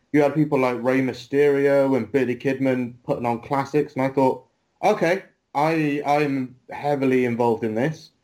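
A man in his thirties talks as a guest in a casual interview. He sounds relaxed and chatty, dropping in a friendly "mate" now and then. His voice sits in a middle pitch. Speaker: guest wrestler.

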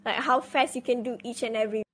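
A woman's voice: on the high side, fairly even intonation, quick pace, fairly bright tone. A young adult woman, a guest on a live stream, chatting casually and swearing as she tells a story.

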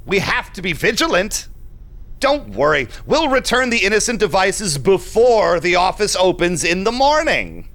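A man's voice: sits high, big pitch swings, normal speed, fairly bright tone, heavily acted. A man playing a shady, condescending vampire businessman in an audio drama, with an unctuous, theatrical, confiding manner. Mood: smooth, assured. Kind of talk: audio drama acting with narrated asides.

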